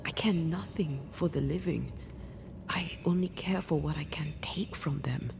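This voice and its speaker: Slow, hushed ghost woman. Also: low-pitched, gravelly, background noise. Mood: haunted, intimate. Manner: measured, hushed, solemn. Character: ghost woman, detached from life, devoted to her lover. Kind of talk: dramatic video game dialogue. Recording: music under the voice.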